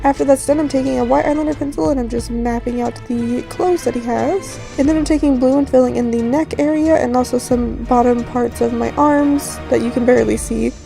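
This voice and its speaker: A woman with a raspy, slightly hoarse just-woken voice, giving a casual, rambling voice-over tutorial as host. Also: high-pitched, fairly even intonation, fairly quick, few pauses, dark tone. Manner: rambling, informal. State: just woke up, morning hoarseness. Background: background music.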